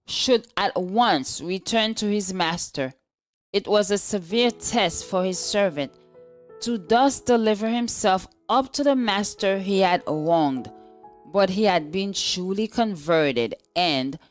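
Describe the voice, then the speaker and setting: Woman, lower-pitched, slow pace, devotional reader, scripted narration, faint music behind.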